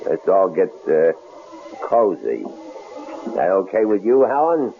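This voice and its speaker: An actor playing a big, rough, menacing drifter with a coarse, mocking, halting way of talking, in a 1970s radio drama. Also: male voice, low-pitched, very animated, heavily acted. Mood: needling. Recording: dull, noisy old radio broadcast.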